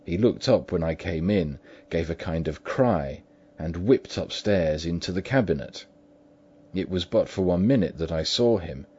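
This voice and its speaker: A man's voice: sits low, darkish tone, slightly rough, full character voice. A man voicing a frightened, loyal old butler who speaks urgently and pleads emphatically. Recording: dull lo-fi recording.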